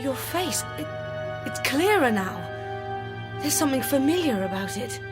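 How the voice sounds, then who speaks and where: A woman's voice: sits high, very animated, moderate pace, music in the background. A woman playing a plucky, frightened young adventurer, breathless and alarmed, in an audio drama. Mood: dawning horror.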